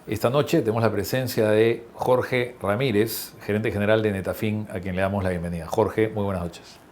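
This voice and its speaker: A man's voice: on the low side, fairly even intonation, moderate pace, darkish tone, a little hiss or hum. A man hosting a business interview, polite and welcoming, who often backs the guest up with brief acknowledgments. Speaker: interview host.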